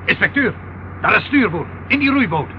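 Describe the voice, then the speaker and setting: A man's voice: sits high, very animated, moderate pace. An actor playing an alert, eager river police boatman in an old radio drama. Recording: dull, noisy old radio recording.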